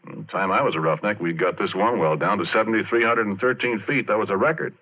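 An actor playing a retired, middle-aged oil-field roughneck, telling his story in colloquial, conversational 1940s radio drama style. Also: fairly low pitch, quick pace, natural voice. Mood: musing, ominous. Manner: colloquial, conversational, addressing the listener directly. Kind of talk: 1940s radio drama first-person narration. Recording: muffled vintage 1940s radio recording.

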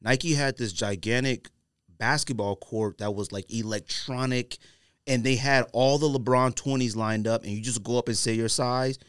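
A man's voice: medium pitch, steady tone, moderate pace, fairly bright tone, smooth, no room sound, studio quality. An American man, middle-aged, hosting a podcast in casual, slangy chat, telling stories with emphatic repetition. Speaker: podcast host. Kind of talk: casual chat about sneakers. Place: close-miked podcast studio.

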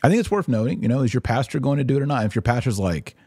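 A man's voice: mid-range voice, expressive, very fast, a little mellow, slightly rough, dry recording, clean studio sound. A man hosting a podcast, giving casual religious commentary and answering listeners in a conversational, emphatic way. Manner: conversational, emphatic, acts out imagined exchanges.

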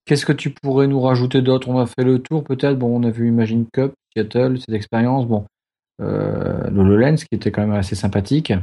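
Gravelly, mellow male podcast host. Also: mid-range voice, fairly even intonation, brisk. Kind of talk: casual podcast hosting.

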